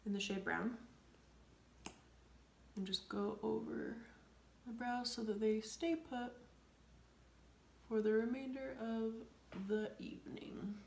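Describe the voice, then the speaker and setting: A young woman with a sniffly, cold-stuffed voice and plenty of gravelly fry talks casually through a makeup routine. She rambles with lots of fillers and slips into soft near-whispers. The sound is roomy and echoey. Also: on the high side, fairly even intonation, takes it slowly, long pauses, a little hiss or hum. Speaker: beauty vlogger. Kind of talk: casual makeup tutorial chat. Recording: echoey room.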